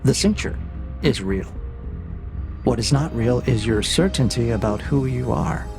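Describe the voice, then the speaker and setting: An actor playing a cold, calculating interrogator AI in an audio drama, with a measured, probing and quietly menacing manner. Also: male voice, medium pitch, expressive, creaky, over music. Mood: coolly probing. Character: cold, calculating male interrogator AI breaking a prisoner. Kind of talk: scripted audio drama acting.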